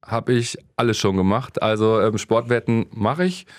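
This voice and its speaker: A man's voice: on the low side, normal speed. A man in his thirties from Krefeld, talking casually and plainly as co-host, with hesitant fillers. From Krefeld, Germany. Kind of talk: casual chat, question round.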